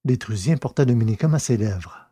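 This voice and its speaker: A man reading scripted science fiction narration in a measured, literary way. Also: medium pitch, lively intonation, dark tone, slightly rough, dry recording, studio quality. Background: music.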